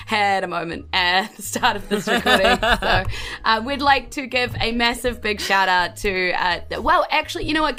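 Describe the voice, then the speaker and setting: A woman's voice: medium pitch, sharp tone. A woman with a New Zealand accent hosting a tabletop game podcast as game master, speaking in a gushing, affectionate way. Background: faint music.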